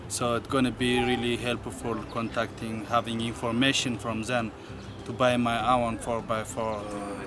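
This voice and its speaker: A man from Ethiopia with an Ethiopian accent, a tour guide giving an unscripted testimonial in halting, simple English. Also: medium pitch, noisy background. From South Omo, Ethiopia. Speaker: tour guide, small business owner.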